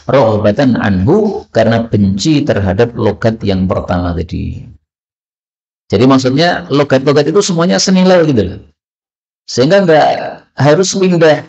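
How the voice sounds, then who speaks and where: A man with a gravelly, fry-laced voice at an ordinary pitch leads a religious study lesson. He works through texts line by line, often asking his listeners a question and then answering it himself. The recording sounds muffled and lo-fi. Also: darkish tone. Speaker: Islamic teacher. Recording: dull, lo-fi recording.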